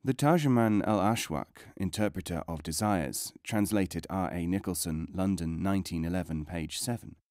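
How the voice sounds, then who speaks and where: A man reading non-fiction as a scripted audiobook narration, in a measured, formal way with careful enunciation. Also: low-pitched, a little mellow, dry recording, clean studio sound.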